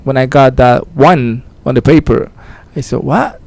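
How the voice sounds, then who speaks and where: Man, radio host, gravelly voice, casual halting chat. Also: mid-range voice, darkish tone. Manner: friendly, laughs often, halting English. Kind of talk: casual on-air chat in English.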